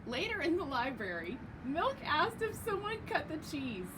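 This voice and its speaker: A woman narrates a children's picture book with great expression. Her voice sits fairly high and swings widely in pitch. The recording has clear background noise. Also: moderate pace. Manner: very expressive, emphatic. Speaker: storyteller reading a picture book. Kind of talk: animated read-aloud narration for children.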